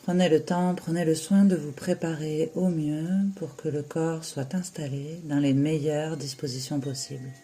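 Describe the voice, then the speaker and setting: A yoga nidra teacher guiding a relaxation in a soothing, unhurried way, with long pauses between short phrases. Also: medium pitch, fairly even intonation, warm tone, slight background noise. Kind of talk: slow guided relaxation meditation.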